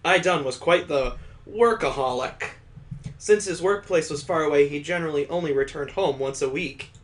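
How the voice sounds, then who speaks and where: Higher-voiced man, rambling wry game commentary. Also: normal speed. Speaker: gaming host. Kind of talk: casual visual novel read-aloud with commentary.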